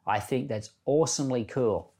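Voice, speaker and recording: A man's voice: mid-range voice, moderate pace, dark tone. A man who is a pastor and podcast host, giving informal, plain-spoken Bible teaching and prayer, explaining by paraphrase.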